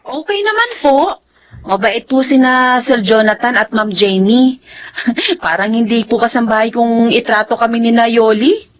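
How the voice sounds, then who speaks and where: A woman's voice: on the high side, measured pace, slight background noise, natural voice. A woman playing an honest, timid housemaid in a Filipino radio drama, polite and hesitant, with a Filipino accent.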